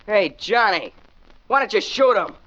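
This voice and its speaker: A man's voice: high voice, animated, unhurried, sharp tone, full character voice. An actor playing a brash, impressionable teenage street-gang boy with slangy talk in a 1940s radio play. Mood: brash, excited. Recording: muffled 1940s radio recording.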